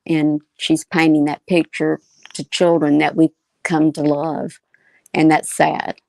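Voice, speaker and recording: A woman talks casually and earnestly on a livestream. Her voice sits a little low and stays level, with noticeable fry, and it is recorded dry. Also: flat delivery, slow, a little mellow, dry recording. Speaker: livestream co-host.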